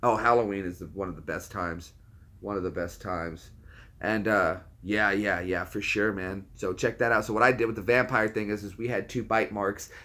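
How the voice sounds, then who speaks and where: A man's voice: on the low side, steady tone, moderate pace, fairly bright tone. A man in his thirties hosting a livestream, chatting casually with viewers in a rambling, laid-back way. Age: 30s. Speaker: livestream host.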